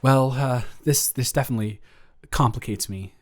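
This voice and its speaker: A man's voice: medium pitch, normal speed, close to an everyday voice. A man playing a nervous, lovestruck young man confessing to his best friend in an improvised romantic audio roleplay, stammering and rambling as he goes. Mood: flustered, defensive.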